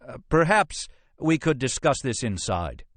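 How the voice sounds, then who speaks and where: A man's voice: mid-range voice, big pitch swings, measured pace, a bit bright, dry recording, voice pushed up. A man voicing a flustered, officious police captain in an audiobook, blustering and protesting. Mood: anxious pleading.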